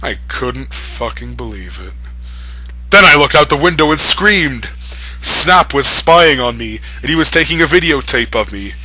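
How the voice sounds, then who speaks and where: A man's voice: mid-range voice, lively intonation, unhurried, sharp tone, slightly rough, faint music behind, low-quality recording. A man reading fan fiction aloud for comedy, breaking in with mocking, amused asides. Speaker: reader.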